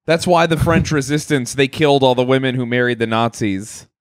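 A man's voice: mid-range voice, brisk, no room sound. A man co-hosting a comedy podcast, rambling through stories in casual chat and laughing often. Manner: loose rambling storytelling, laughs a lot. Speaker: podcast co-host.

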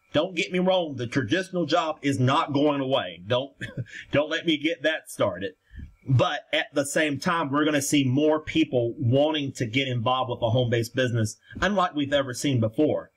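A man's voice: fairly high pitch, a bit bright, husky. A man hosting a conference call, giving an emphatic motivational marketing talk that addresses listeners directly and repeats itself.

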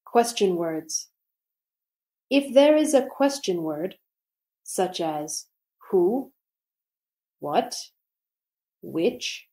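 Woman reading grammar notes, really slow, soft mellow voice. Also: mid-range voice, rough recording. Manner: slow, deliberate reading with pauses. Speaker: English teacher. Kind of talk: slow scripted grammar lesson.

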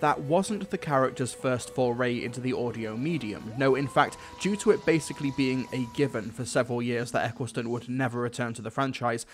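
A man's voice: medium pitch, brisk, few pauses. A young man presenting a scripted review to camera in an emphatic, conversational way, close to a desk microphone. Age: young adult. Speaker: video reviewer.

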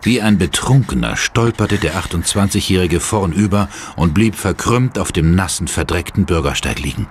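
A man's voice: low-pitched, animated, normal speed, few pauses, a little hiss or hum. A man narrating a horror audio drama in a dramatic, scripted style. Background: music.

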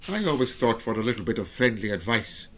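A man's voice: lowish voice, sing-song, brisk, a bit bright. A British man acting a plain-spoken, supportive friend in an audio drama. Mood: teasing, supportive.

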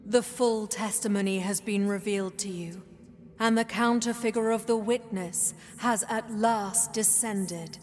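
An actress playing a solemn, majestic holy herald spirit in a video game, proclaiming in a stately, formal manner. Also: female voice, medium pitch, fairly even intonation, unhurried, close to an everyday voice. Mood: solemn, exhorting. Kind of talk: ceremonial game dialogue. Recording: long cavernous reverb. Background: soft music.

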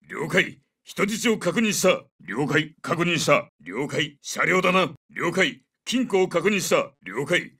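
Game soldier, gruff, commanding, clipped callouts, hard-edged forceful tone, slightly away from the mic. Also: male voice, fairly high pitch, distant mic, exaggerated voice. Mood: businesslike, alert. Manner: clipped, authoritative. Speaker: game character voice lines. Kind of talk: terse military callouts.

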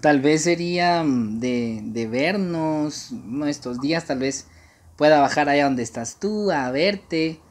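A man, speaking haltingly and coyly on a casual prank phone call. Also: on the high side, lively intonation, a little hiss or hum. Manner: hesitant, halting, coy. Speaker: prank caller and channel host. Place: small room indoors.

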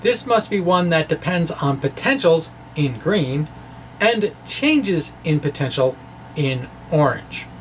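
Slow-talking man teaching, faint background noise. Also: fairly high pitch, expressive. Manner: playful, wry dramatic delivery. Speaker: physics presenter. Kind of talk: video lecture, part scripted reading, part informal explaining. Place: small indoor room with bookshelves. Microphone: microphone on a stand close in front.

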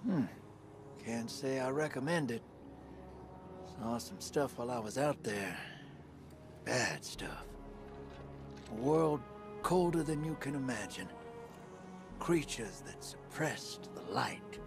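An actor playing a grizzled, wry male drifter in a game cutscene, drawling slowly and dropping to a hushed rasp. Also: mid-range voice, animated, music in the background. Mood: grim. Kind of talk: video game cutscene acting. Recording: game audio with noisy ambience.

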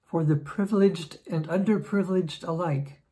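A woman in her sixties reading aloud from a religious book in a measured, solemn way, in a room at home. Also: on the low side, warm tone, low-quality recording. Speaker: lay reader.

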